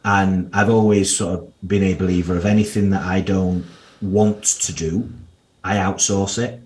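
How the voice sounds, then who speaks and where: A man in his thirties with a broad Yorkshire accent and a fairly low voice. He talks candidly and bluntly, dropping slang and the occasional swear word. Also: steady tone, a little slow, a little mellow. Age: 30s. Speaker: guest financial planner. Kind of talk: casual podcast interview.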